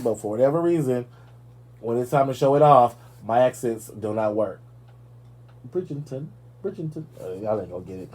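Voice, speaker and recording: A man hosting a live talk show, chatting casually and sassily about celebrity gossip, with playful asides. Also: mid-range voice. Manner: sassy, animated, playful asides.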